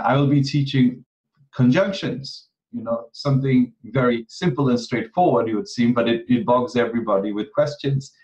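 A man in his thirties from Kenya, a guest astrology teacher, talking casually on a video call and pausing often with ums and restarts. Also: mid-range voice, a little mellow. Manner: hesitant, many ums and restarts. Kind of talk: casual interview talk. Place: home room, video call. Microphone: video call webcam. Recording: dull video-call audio.

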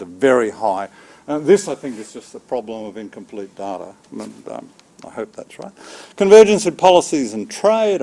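A man in his sixties giving an academic keynote lecture in a measured, halting way, in short phrases. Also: medium pitch, darkish tone. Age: 60s. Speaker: keynote speaker, agricultural economics researcher. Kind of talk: academic seminar lecture from slides. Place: seminar room with small audience, indoors. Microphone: podium microphone.

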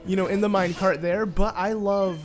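A man with a slightly high, stuffy nasal voice talks casually while he plays a game. He rambles, gets excited and fills gaps with "like" and "uh". Soft game music plays faintly behind him. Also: a little fast. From United States. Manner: chatty, excitable, rambling with fillers. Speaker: let's play host. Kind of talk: casual gameplay commentary.

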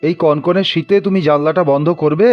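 A man's voice: a little high, fairly even intonation, fairly quick, lo-fi sound, faint music behind, close to an everyday voice. A man playing a protective, world-wise husband in an audio drama, cautioning and reflective. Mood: concerned.

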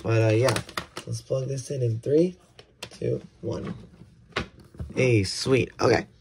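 A man's voice: mid-range voice, steady tone, takes it slowly. A young American man chatting casually through a hands-on unboxing, often repeating words and restarting. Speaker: video creator unboxing weather radios. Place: indoors at a desk. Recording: clear background hiss and handling clicks.

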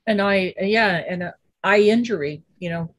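Husky woman chatting on a call. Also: medium pitch, normal speed, dry recording. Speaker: panelist.

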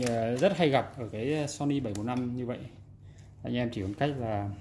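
A man's voice: mid-range voice, darkish tone. Man explaining repairs, noisy background. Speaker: repairer presenting a repair video. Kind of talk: casual hands-on repair explanation. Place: indoors at a repair workbench. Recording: background noise, room ambience.

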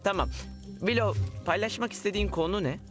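A man's voice: sits high, a little fast, natural voice. A man voicing an earnest, bossy team leader in a dubbed cartoon, with a commanding, exasperated manner. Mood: stern, exasperated. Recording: music under the voice.

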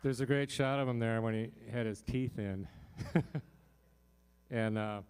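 A man's voice: mid-range voice, measured pace, a little mellow, a little hiss or hum. An older man talking casually to an audience from a small hall stage, joking and chatty into a handheld mic. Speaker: performer hosting a concert.

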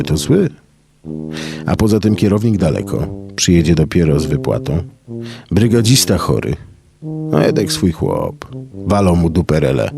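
A man narrating a story in rough, slangy working-class speech. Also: low-pitched. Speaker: narrator and solo performer of a radio story. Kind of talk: dialect-heavy storytelling with acted voices.

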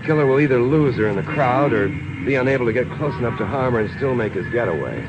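An actor playing a calm, measured male lawyer in a 1950s radio drama. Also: mid-range voice, close to an everyday voice. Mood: grave, measured. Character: cool-headed, measured male lawyer, calm under pressure. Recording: muffled, noisy 1950s radio recording.

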